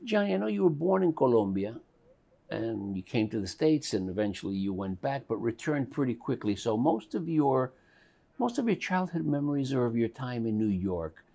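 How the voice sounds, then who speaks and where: Interviewer with a lively, gravelly voice. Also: male voice, medium pitch, moderate pace. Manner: brief prompts and encouraging replies. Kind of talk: interview questions.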